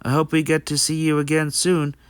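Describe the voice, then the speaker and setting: A man acts out a friendly young stallion from a fan-made cartoon dub. He is chatty and practical, explaining things earnestly in a clean, smooth voice. The sound is dry and close. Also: fairly high pitch, monotone, a little fast, no room sound, lightly acted. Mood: friendly, hopeful. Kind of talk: amateur comic dub voice acting.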